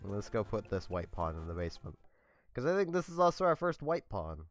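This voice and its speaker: A young man hosts a gameplay video in a flat, monotone voice that sits on the low side and carries a slight scratch. He rambles easily and fills his speech with "like"s. Faint music plays underneath. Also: brisk. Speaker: let's play host. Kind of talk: casual gameplay commentary.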